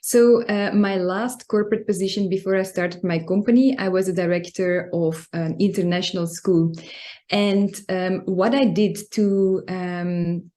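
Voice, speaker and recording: A woman from Belgium, speaking as a language, gives an informal talk in an earnest, explanatory way with frequent pauses. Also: medium pitch, fairly even intonation, warm tone. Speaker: guest speaker, coach and club secretary. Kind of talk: informal prepared talk on workplace values.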